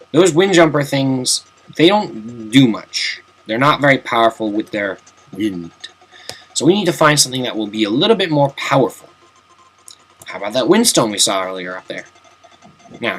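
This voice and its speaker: A man's voice: medium pitch, fairly bright tone, slight background noise, poor audio. A young man giving casual, chatty commentary while playing through a game, with self-mocking jokes and asides. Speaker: let's play commentator.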